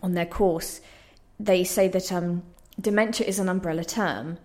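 A woman with a slightly low voice talks as a playwright in a radio interview. She is earnest and reflective, explaining things in a conversational way. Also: steady tone, moderate pace, a little mellow.

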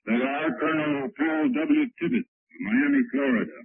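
A man's voice: fairly high pitch, huge pitch swings, moderate pace, no room sound. A man working as a military combat reporter, interviewing in a formal, scripted 1940s radio news style on a wire recorder. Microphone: wire recorder microphone. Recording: tinny, dry wire-recorded field broadcast.

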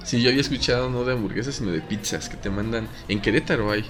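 A man's voice: medium pitch, fast talker, over music. Slangy Mexican man in casual chat. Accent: Mexican Spanish. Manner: slangy, joking, rambling with restarts. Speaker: podcast co-host.

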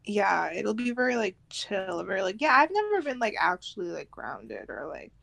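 A woman's voice: medium pitch, very animated, normal speed, vocal fry, a bit bright. A young woman chatting casually as a podcast co-host, in an informal, loosely phrased way. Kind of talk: casual chat.

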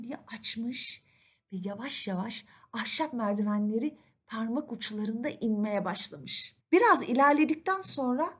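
A woman storyteller narrating a children's fairy tale in an animated, expressive manner. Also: high-pitched, slightly rough.

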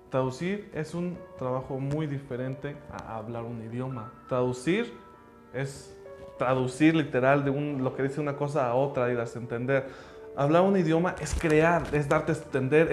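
A man whose first language is English gives an earnest, emphatic motivational talk about his language school, speaking in halting phrases. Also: mid-range voice, darkish tone. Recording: roomy echo with faint music.

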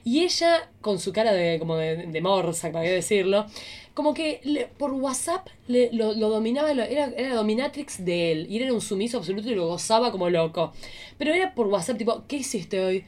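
A woman's voice: medium pitch, expressive, a little fast, darkish tone. A woman with an Argentine accent hosting a casual comedic chat in a playful, theatrical, exclamatory way. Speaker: podcast host.

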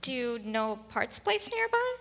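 A woman's voice: high-pitched, sing-song, a little slow, creaky, fairly bright tone, exaggerated voice. An actress playing a helpless, grateful stranded woman motorist in comic stage theatre, wide-eyed and questioning. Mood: helpless, hopeful. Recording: noisy live theatre hall, long reverb.

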